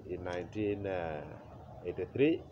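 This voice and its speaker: A man in his forties from Uganda with a Ugandan accent, telling his life story as an interview guest, pausing often with "uh" and chuckling at memories. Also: low-pitched. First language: Acholi. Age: 40s. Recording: slightly muffled with faint noise.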